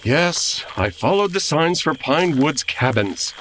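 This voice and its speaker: A woman's voice: low-pitched, expressive, takes it slowly, slight background noise, poor audio. A woman playing an exasperated, fussy radio sponsor man, dry and indignant, in a comedy audio drama. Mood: dry, irritated.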